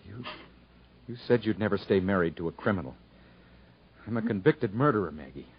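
An actor playing a proud, stubborn rural husband convicted of murder, with plain folksy speech, in a 1950s radio drama. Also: male voice, on the low side, animated, a little fast, a little hiss or hum. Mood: resigned, somber. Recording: dull 1950s radio broadcast recording.

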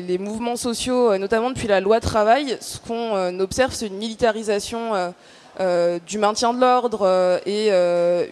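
A woman's voice: medium pitch, a little mellow. Hesitant woman giving an explanatory talk. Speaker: activist panel speaker. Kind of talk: semi-prepared public talk, explanatory.

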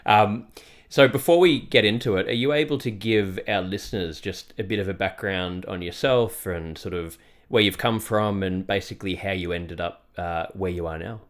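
Low-voiced man hosting a podcast. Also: moderate pace. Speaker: podcast host. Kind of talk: scripted introduction turning into casual interview.

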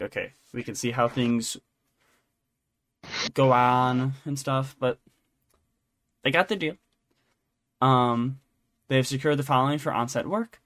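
A man's voice: medium pitch, a little hiss or hum. A man co-hosting a podcast, chatting casually about entertainment news in a rambling, jokey, self-interrupting way. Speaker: podcast co-host.

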